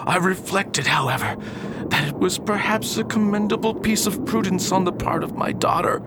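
A man's voice: sits high, expressive, moderate pace, background noise. An actor playing an obsessed, proud older Victorian alchemist and embittered father in a declamatory radio drama style. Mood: brooding. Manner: grandiose, declamatory old-fashioned speech. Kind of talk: theatrical radio drama acting.

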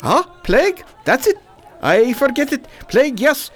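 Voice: high-pitched, sing-song, measured pace. An actor playing a talkative bazaar shopkeeper in a radio drama, with a foreign accent and broken, emphatic English. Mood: explaining. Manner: broken English, short emphatic repeated phrases.

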